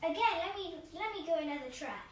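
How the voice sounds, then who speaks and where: A woman's voice: very high voice, expressive, fast talker. A young girl telling an improvised, playful puppet-show story with toys. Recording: roomy, distant mic, faint noise.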